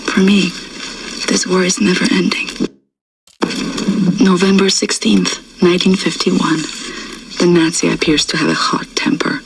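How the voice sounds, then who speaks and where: An actress playing a bitter, haunted wartime resistance woman in her thirties, reading her secret diary in a hushed, breathy, deliberate deadpan for a video game. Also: on the low side, distant mic, slight background noise, poor audio. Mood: wistful, weary, then bitter, controlled. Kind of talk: dramatic scripted video game audio diary.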